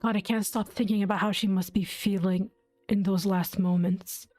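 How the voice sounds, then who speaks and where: A woman's voice: medium pitch, fairly even intonation, moderate pace, darkish tone, no echo. A woman giving casual, emotionally invested reaction commentary on a game, thinking aloud and swearing freely. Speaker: game streamer. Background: faint game music.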